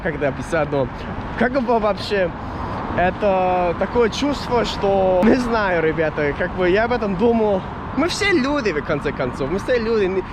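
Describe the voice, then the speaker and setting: A young man with an American accent and a high voice talks casually to his viewers in a non-native language. He rambles, hunts for words, and restarts often. The recording is outdoors, with clear street traffic audible behind him. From United States. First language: English. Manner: rambling, searching for words, many fillers and restarts. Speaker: vlogger. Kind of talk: casual chat to camera.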